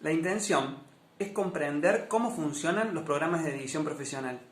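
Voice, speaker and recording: A man explains as a teacher presenting to students. His voice is on the high side, recorded in an echoey room. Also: normal speed. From Argentina. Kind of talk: instructional presentation.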